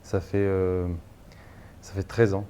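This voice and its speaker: A man in his thirties from northern France speaks in a low, mellow voice as an interview guest. He pauses often, restarting sentences and filling gaps. A faint hiss sits under the recording. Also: completely flat delivery. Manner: hesitant, many fillers and restarts. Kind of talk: interview, personal story.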